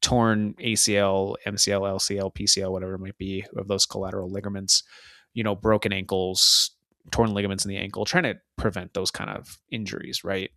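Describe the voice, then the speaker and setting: Low-voiced man recapping soccer matches. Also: level tone, brisk, pushed and bright, no echo, studio quality. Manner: rambling, chatty play-by-play recaps. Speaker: podcast co-host. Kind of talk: casual soccer podcast chat.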